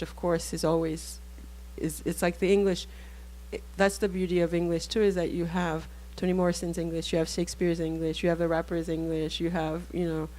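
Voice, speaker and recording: A woman with a low, level voice and Haitian Creole as her first language talks about writing on a discussion panel. She thinks aloud, breaking off and restarting her sentences as she searches for words. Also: darkish tone, rough recording. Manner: thoughtful, halting, many restarts. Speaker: author.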